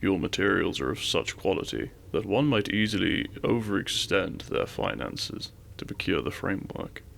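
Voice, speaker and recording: A man playing an imperious, possessive dragon king in a romantic fantasy audio roleplay, speaking with formal, commanding courtesy and a gravelly voice. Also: sits low, sing-song, a bit bright, exaggerated voice. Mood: seductive, possessive. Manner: formal, commanding, deliberate menace with seductive courtesy.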